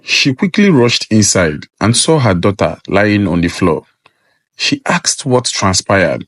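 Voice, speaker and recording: A man's voice: on the low side, no room sound. A man narrating a scripted folktale as a storyteller.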